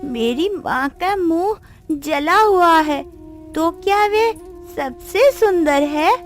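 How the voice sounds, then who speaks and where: A woman's voice: very high voice, animated, slow pace, soft background music, full character voice. A woman voicing an innocent, curious, easily hurt little village girl in a cartoon story. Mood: innocent, puzzled. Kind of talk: cartoon moral story dialogue.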